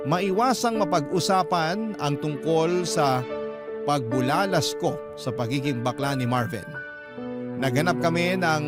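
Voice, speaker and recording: Man as Filipino storyteller over loud music. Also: on the high side, lightly acted. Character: regretful, jealous spouse confessing a love story. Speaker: narrator reading a letter sender's story. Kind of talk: scripted first-person radio drama narration.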